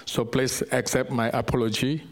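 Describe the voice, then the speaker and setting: A man from Indonesia with an Indonesian accent, a missionary preacher addressing a church in halting, simple non-native English. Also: medium pitch, level tone. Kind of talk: church sermon and ministry update.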